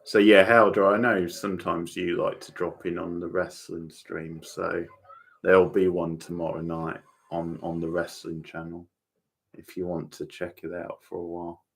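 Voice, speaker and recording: Male livestream host, very deep gravelly voice, relaxed watchalong chat addressed to viewers. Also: moderate pace. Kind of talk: casual watchalong commentary, chatting with viewers.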